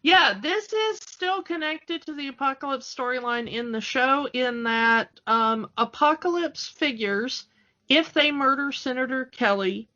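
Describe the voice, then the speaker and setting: A woman's voice: sits high, unhurried, sharp tone, highs cut off. A woman co-hosting a podcast, chatting casually through a recap with a fan's wry asides. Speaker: podcast co-host. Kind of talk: casual chat recapping a TV cartoon.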